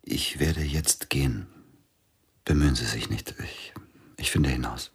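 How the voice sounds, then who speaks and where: A shaken grieving pianist, very deep. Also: male voice, vocal fry, husky, exaggerated voice. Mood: shaken grief. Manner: subdued, halting, lingering. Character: shaken grieving middle-aged man, a famous pianist.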